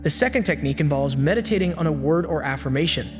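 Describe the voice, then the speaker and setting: A man giving scripted self-help narration with an even, steady storytelling delivery. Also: fairly high pitch, fast talker. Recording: long hall reverb with faint music. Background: faint background music.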